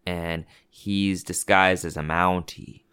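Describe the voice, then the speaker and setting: A man's voice: low voice, steady tone, takes it slowly, bright, forceful tone, clean tone. A young Canadian man with a Canadian accent, co-hosting a podcast in casual, sarcastic, rambling chat full of fillers. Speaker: podcast co-host.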